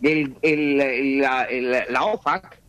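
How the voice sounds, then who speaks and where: A man from Peru, speaking as an economist guest on a radio interview. He explains at a measured, careful pace, often restarting his sentences, and his voice sits in a middle pitch. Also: fairly even intonation, fairly bright tone, clean tone, dry recording.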